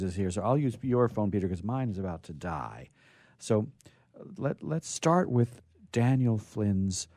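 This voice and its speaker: A man hosting a radio show, reading stories aloud in an informal, halting but theatrical way. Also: lowish voice, mellow, no room sound, studio quality. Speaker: radio show host and storyteller.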